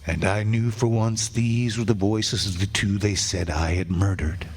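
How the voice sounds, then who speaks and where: A man acting a condemned man who wanders among ghosts, narrating a radio drama in a slow, solemn way. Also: fairly low pitch, steady tone, husky. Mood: haunted. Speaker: radio play actor. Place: stage performance.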